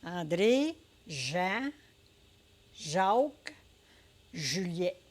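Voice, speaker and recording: An older woman with a Québécois accent, telling her story in a casual interview, unhurried and hesitant, full of fillers. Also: on the low side, sing-song. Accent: Québécois French. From Quebec. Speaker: interviewee, entrepreneur.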